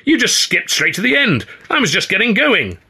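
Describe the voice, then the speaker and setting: A blustering, self-important comic police chief acted out by a male narrator in an audiobook. He declaims loudly and stubbornly, pitched well above the reader's own voice, with a bright, forceful edge. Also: huge pitch swings, moderate pace, slightly rough, full character voice. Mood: indignant, defensive. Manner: loud, pompous, declamatory.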